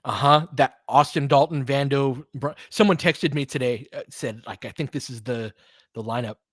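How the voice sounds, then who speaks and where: A man's voice: mid-range voice, moderate pace, a bit bright, dry recording, clean studio sound. A man giving informal, partisan live basketball commentary as a host, reacting openly to the plays like a fan.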